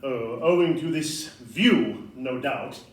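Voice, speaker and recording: A man acting an agreeable, practical Victorian gentleman in a stage audio play, genteel and measured in his speech. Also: mid-range voice, expressive. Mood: mildly doubtful. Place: live indoor performance in a club room. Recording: roomy distant live recording.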